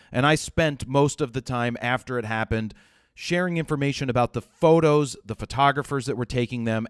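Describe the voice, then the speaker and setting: A man presents informal commentary with a medium pitch and emphatic delivery. The sound is dry and close, and he explains things point by point. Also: smooth, no room sound. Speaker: photography presenter. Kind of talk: informal news commentary to camera.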